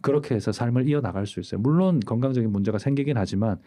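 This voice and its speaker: A man in his forties, a doctor hosting a casual chat, explaining things in a lively storytelling way and acting out quoted lines. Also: mid-range voice, very fast. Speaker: urologist host.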